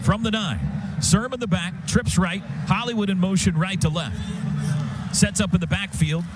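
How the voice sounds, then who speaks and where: A man's voice: high-pitched, moderate pace, very noisy. A man doing live radio play-by-play of a football game, describing each play in clipped, vivid phrases. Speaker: play-by-play announcer. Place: stadium radio booth. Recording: radio broadcast over loud stadium sound.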